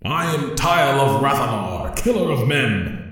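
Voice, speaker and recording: A man voicing a grim ancient alien warrior ghost in a solemn, measured way. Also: medium pitch, big pitch swings, a bit bright, very echoey, voice pushed up.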